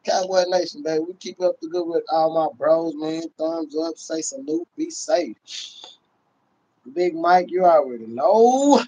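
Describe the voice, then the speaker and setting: A man's voice: on the high side, unhurried, dark tone, lo-fi sound. A middle-aged man chatting casually on a sports livestream, slangy and sweary, leaning on repeated catchphrases. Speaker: livestream host and Cowboys fan. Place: small room indoors. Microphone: phone at close range.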